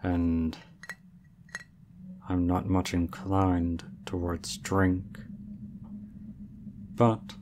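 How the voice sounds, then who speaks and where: Slow, deep, aloof dragon lord played by a man. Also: background noise. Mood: reserved, mildly puzzled. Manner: formal, measured, deadpan, stately diction. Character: aloof, regal male dragon in human form, proud and reserved. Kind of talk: ASMR roleplay audio drama.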